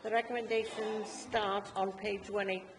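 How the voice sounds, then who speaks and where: A woman chairing a council meeting, formal and brisk. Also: medium pitch, level tone, slight background noise. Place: council chamber.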